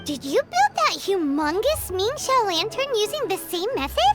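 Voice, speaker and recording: A woman's voice: extremely high pitch, very animated, moderate pace, over loud music. A woman voicing a tiny childlike fairy companion, bubbly and eager, in a video game. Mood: bubbly, inquisitive. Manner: refers to herself in third person, exclaiming.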